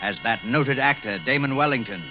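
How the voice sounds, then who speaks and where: A man's voice: mid-range voice, a little fast. A man announcing a 1940s radio drama as program announcer, in a formal, dramatic manner. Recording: dull vintage radio broadcast recording. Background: music.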